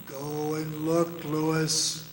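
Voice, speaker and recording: Implacable murdered man's ghost male actor, slightly high, solemn radio-drama delivery, echoey stage recording. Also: completely flat delivery. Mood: solemn, commanding. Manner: solemn, measured. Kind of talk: slow theatrical radio drama acting. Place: performing arts center stage. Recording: echoey live stage, faint noise.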